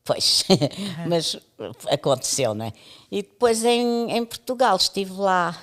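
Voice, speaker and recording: A woman's voice: medium pitch, big pitch swings, measured pace. A woman in her seventies from East Timor, telling her life story as an interview guest, chatty and laughing often. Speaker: interview guest, radio pioneer. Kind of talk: storytelling conversation.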